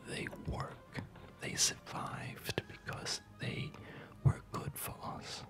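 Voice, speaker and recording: An ASMR gaming host speaking in a soft unvoiced whisper, rambling in a reflective, hesitant way, close to the microphone. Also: takes it slowly. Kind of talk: ASMR, unscripted rambling over gameplay. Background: music.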